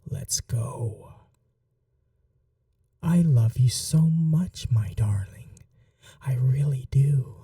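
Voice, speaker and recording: A man playing a possessive, tender yet cruel ageless vampire lover in an intimate ASMR roleplay, soft and breathy, often slipping into whispers, slow and coaxing. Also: mid-range voice, no echo, clean studio sound, distant mic. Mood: possessive devotion.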